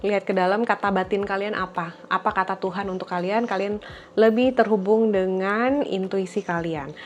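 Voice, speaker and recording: A woman talks viewers through a tarot reading in a soft, unhurried voice. Her voice sits at an average height and sounds slightly blocked and nasal from a cold. She often pauses with fillers as she thinks aloud. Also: medium pitch, highs cut off. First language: Indonesian. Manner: gentle, reflective, with frequent fillers. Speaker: tarot reader.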